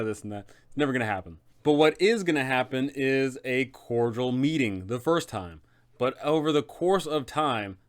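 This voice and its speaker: A man's voice: medium pitch, smooth. A man hosting a game master's guide, narrating in an emphatic, conversational explainer style.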